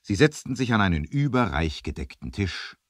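A man's voice: on the low side, sing-song, normal speed, dry recording, clean studio sound. A man narrating a 1970s adventure radio play as a dramatic scripted storyteller.

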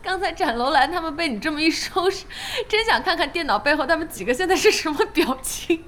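A woman's voice: high voice, animated, brisk, a bit bright, slight background noise. An actress playing a spirited young woman boss, playful, blunt and teasing, in a radio drama. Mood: amused, playful. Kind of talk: Chinese radio drama dialogue. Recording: music behind the voice.

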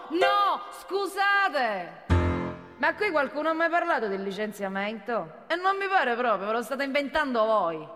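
An actress playing a condescending, defensive corporate boss arguing sarcastically in a theatrical radio monologue. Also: female voice, high-pitched, sharp tone, heavily acted. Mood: indignant. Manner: condescending, sarcastic, rhetorical questions. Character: haughty, defensive female corporate executive, condescending and combative. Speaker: company managing director addressing union members. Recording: long hall reverb of a stage.

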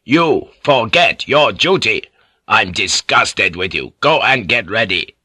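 A man's voice: deep voice, measured pace, sharp tone, no echo, studio quality, exaggerated voice. A man voicing an imperious, scornful feudal lord in an audiobook, stern and commanding. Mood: disgusted, commanding.

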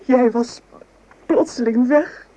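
An actress playing a lovesick, guilt-ridden young maidservant in a radio play, with halting, breathy, pleading delivery. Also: female voice, fairly high pitch, mellow. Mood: anguished, desperate. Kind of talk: melodramatic Dutch radio play acting. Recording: noisy old radio drama recording.

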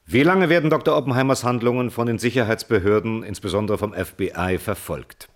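An actor in a radio play voicing a persistent defence lawyer who puts formal, pointed questions. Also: male voice, lowish voice, fairly quick, natural voice. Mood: probing. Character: probing, persistent male defence lawyer cross-examining a witness. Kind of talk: radio play courtroom acting.

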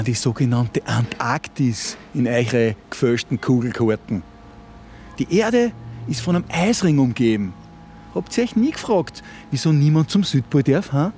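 An actor playing a domineering, condescending conspiracy believer turned kidnapper in a radio play, speaking colloquially with an Austrian accent. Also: male voice, medium pitch, a little mellow, a little hiss or hum, close to an everyday voice. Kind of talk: contemporary radio play acting.

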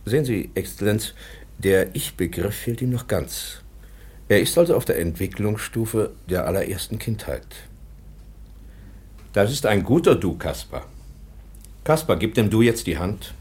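An actor playing a gentle, protective schoolmaster in a radio drama, soothing and deferential. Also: male voice, fairly low pitch, expressive, measured pace, pauses often, husky. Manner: soothing simple phrases, deferential to superiors. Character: gentle, patient schoolmaster professor, protective guardian. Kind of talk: German radio drama acting.

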